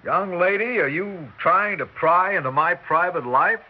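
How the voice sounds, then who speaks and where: A man's voice: sits high, measured pace. A man playing a brusque, self-satisfied Broadway producer in 1960s radio drama style, wisecracking and dismissive. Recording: dull vintage radio recording with hiss.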